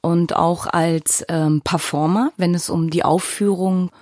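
A woman with a fairly low voice hosts an interview podcast. She is informal and playful, chatting directly to guest and listeners. The recording is very dry, made in a studio. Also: steady tone, a little slow, darkish tone, no echo. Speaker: podcast host.

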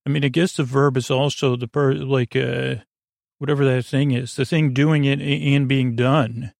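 A man hosting a sleep podcast with a meandering, halting monologue, often stammering and drifting off on tangents. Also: mid-range voice, level tone, gravelly, darkish tone, dry recording, studio quality. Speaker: podcast host.

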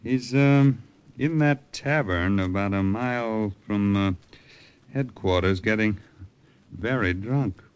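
A brisk army colonel giving orders in short, clipped phrases. His talk is broken by small hesitant pauses, in the manner of a 1940s radio drama. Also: lowish voice, clean tone, highs cut off. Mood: concerned. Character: male army colonel, brisk commanding officer.

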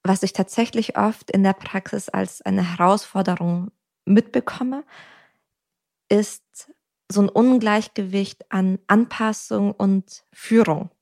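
This is a woman therapist talking through ideas in a podcast chat. Her voice sits at a middle pitch for a woman. She speaks reflectively, leaning on everyday examples and frequent ums. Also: fairly even intonation, slow, creaky, darkish tone. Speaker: couples therapist. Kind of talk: podcast conversation.

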